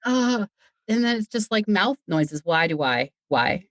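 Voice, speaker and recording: A podcast guest, a writer and director, telling stories in a chatty, animated way in a casual interview. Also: mid-range voice, measured pace, no echo, clean studio sound.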